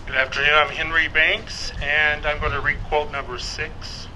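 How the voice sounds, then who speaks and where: A man reading a quotation in a measured way at a public event. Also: on the high side, level tone, bright, forceful tone, rough recording. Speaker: quote reader at event. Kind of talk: slow, measured quote reading. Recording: faint outdoor traffic noise.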